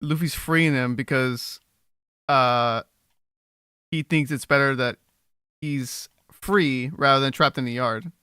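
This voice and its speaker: A man chatting casually during a watchalong stream, retelling scenes in an animated way. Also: medium pitch, takes it slowly, pauses often, smooth, dry recording, clean studio sound. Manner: animated retelling, laughs often. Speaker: watchalong co-host. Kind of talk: casual reaction chat.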